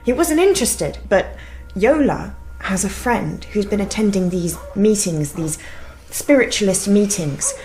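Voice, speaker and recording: A woman's voice: medium pitch, expressive, measured pace, few pauses, darkish tone, faint music behind, natural voice, rough recording. A woman with a British accent playing an earnest, insistent wife in a naturalistic radio drama, urgent and intense. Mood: eager, earnest. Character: earnest, insistent young wife, convinced and pressing.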